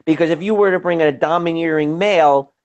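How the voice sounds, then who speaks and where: Man, casual group chat, higher pitch, halting delivery, frequent restarts. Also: darkish tone, dull audio.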